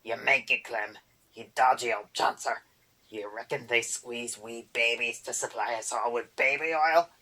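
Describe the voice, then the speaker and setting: A man's voice: mid-range voice, measured pace, bright, forceful tone. A man voicing a spiteful little male boggart that screeches and rants insults in dialect. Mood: screeching.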